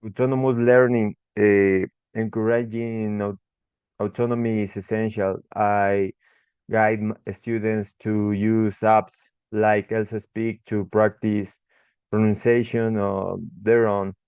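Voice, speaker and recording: A young man with an Ecuadorian Spanish accent gives a short class presentation as an English tutor, pausing often with fillers and restarting words. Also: on the low side, flat delivery, a little mellow, clean tone, no room sound, lo-fi sound. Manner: halting, frequent restarts and fillers.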